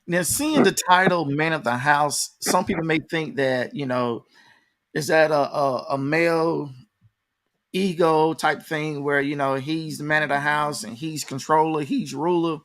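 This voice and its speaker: A man's voice: a little high, steady tone, unhurried, fairly bright tone. A man hosting a conversational interview, informally addressing his audience like an announcer. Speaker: interview host and promoter. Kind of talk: conversational radio-style interview.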